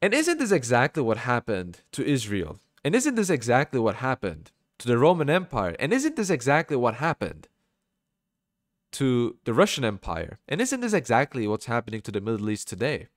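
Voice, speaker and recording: A man delivers informal religious commentary in a middling-pitched voice, recorded dry. He is emphatic and colloquial, moving between reading and explaining, and he likes to drive points home with repeated rhetorical questions. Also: huge pitch swings, normal speed, dry recording.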